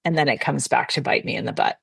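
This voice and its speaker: A Canadian woman with a low voice and a gravelly edge talks as a podcast guest. She chats in a friendly, easygoing way, fills her thoughts with ums and you-knows, and the sound is dry and close. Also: fast talker, fairly bright tone, no echo. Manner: friendly, chatty, frequent fillers and light laughs. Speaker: guest, personal brand consultant. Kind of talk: casual interview conversation.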